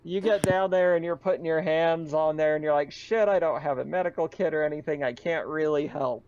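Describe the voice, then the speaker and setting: A man's voice: on the high side, fairly quick, a little mellow, clear, resonant tone, no room sound. Man running a game, dull lo-fi sound. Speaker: game master. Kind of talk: narrating a tabletop roleplaying game, casual.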